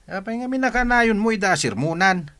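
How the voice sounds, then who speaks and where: A man's voice: sits high, expressive, brisk, bright, forceful tone, dry recording. An actor playing a brash man in an Ilocano radio drama about village family quarrels. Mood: reproachful.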